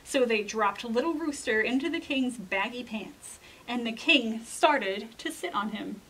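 A young woman storyteller giving an expressive, deliberate, emphatic dramatic read-aloud for children. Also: fairly high pitch, a little hiss or hum, rough recording. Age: young adult. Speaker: library storyteller. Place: indoor library room with bookshelves.

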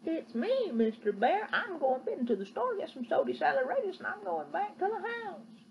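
A bright little storybook girl, as a woman storyteller puts her on, high and sing-song, bouncing through her words. The recording is muffled and lo-fi. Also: sing-song, normal speed, creaky, a little hiss or hum, heavily acted. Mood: chirpy. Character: cheerful little girl running an errand.

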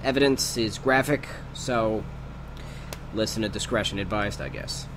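Man as slow, reluctant police evidence clerk. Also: on the low side, background noise. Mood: reluctant, disgusted. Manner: hesitant, offhand. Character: weary, disgusted policeman logging an evidence tape. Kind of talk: halting evidence-log announcement.

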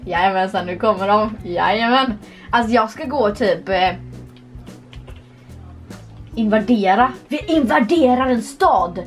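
A woman's voice: mid-range voice, animated, long pauses, soft background music. A young woman casually chatting while playing a horse game, playful and giggly, recorded at a desk webcam in a small room. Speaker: vlogger. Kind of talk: casual gameplay commentary.

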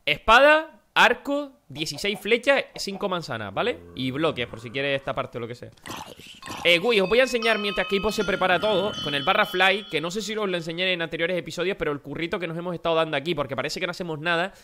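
A man's voice: sits high, expressive, fast talker, bright, forceful tone, slight background noise. A man with a Spain Spanish accent, his voice nasal and worn out, chatting energetically to viewers while playing a game. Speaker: gaming youtuber. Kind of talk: casual gameplay commentary.

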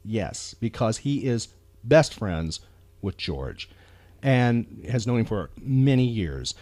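A man hosting a casual talk show, speaking earnestly and haltingly, often repeating words as he goes. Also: on the low side, very animated, darkish tone, no echo. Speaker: podcast host.

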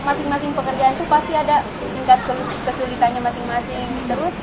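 A woman's voice: high-pitched, level tone, fast, heavy background noise. A young woman with an eastern Indonesian accent, a new singer earnestly answering interview questions outdoors at night. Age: young adult. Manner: refers to herself by name, earnest. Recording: loud music and crowd behind.

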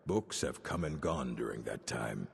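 A gruff old orc keeper of books for a video game. The voice is deep and heavily rasping, measured and dry, ringing with long reverb. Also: male voice, animated, heavily acted. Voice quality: deep, gravelly rasp. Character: gruff old male orc librarian, stern and learned. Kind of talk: video game dialogue acting. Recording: long reverb of a stone hall.

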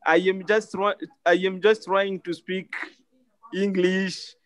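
Slow-speaking man with a Congolese French accent. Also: sits high, level tone, a bit bright. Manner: halting, apologetic, repeats words. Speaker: climate activist. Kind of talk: remarks on a video call. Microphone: video call.

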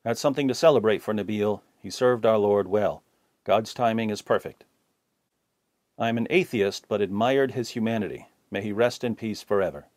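A man reading viewer comments aloud in a plain, steady voice. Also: fairly low pitch, moderate pace, no echo.

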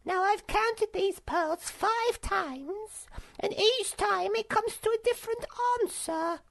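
A storybook narrator voicing a brash, jeering young female monkey with an excitable, screechy, bossy way of talking. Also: very high voice, slow pace, voice pushed up, heavily acted. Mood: self-important.

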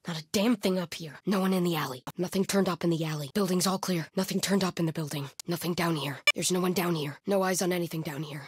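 A woman playing a hardened, vigilant cult soldier in a video game, speaking in terse, clipped callouts with a husky voice. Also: on the low side, steady tone, a little fast, noisy background, close to an everyday voice. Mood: low, frustrated. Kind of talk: video game combat voice lines.

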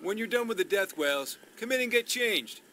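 A teasing young knight in a video game, talks casually in a fairly high, animated voice. There is clear noise behind him. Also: male voice, normal speed. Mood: easygoing. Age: young adult. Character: easygoing, teasing 21-year-old knight room leader. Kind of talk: scripted video game acting.

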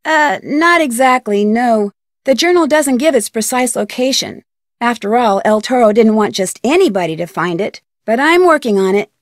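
A woman's voice: on the high side, animated, moderate pace, no echo, clean studio sound, close to an everyday voice. An actress playing an inquisitive, polite young woman detective in an adventure game, speaking earnestly. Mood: earnest, explaining. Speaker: video game lead character. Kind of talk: scripted adventure game dialogue.

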